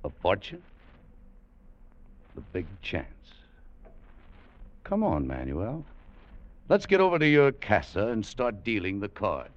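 An actor playing a tough, wry American opportunist with terse, slangy delivery in hardboiled old-time radio drama style. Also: male voice, lowish voice, very animated, slow, pauses often. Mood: eager. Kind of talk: 1940s-50s hardboiled radio drama acting. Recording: muffled, noisy vintage radio recording.

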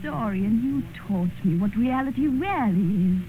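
High-voiced, devoted young romantic. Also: female voice, animated, measured pace, mellow. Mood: fervent. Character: devoted, idealistic young actress in love. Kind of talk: 1950s radio drama acting. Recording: muffled, hissy old radio broadcast.

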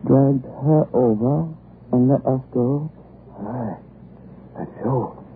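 An actor playing a frightened, rough boatman in melodramatic old radio horror, with urgent exclamations. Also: mid-range voice, slow. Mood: uneasy wonder. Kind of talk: 1930s-40s radio horror acting. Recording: noisy, muffled old radio broadcast.

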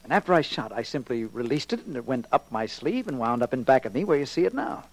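An actor playing a smug, condescending murderer husband in a 1940s radio crime drama. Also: male voice, mid-range voice, sing-song, quick pace, poor audio.

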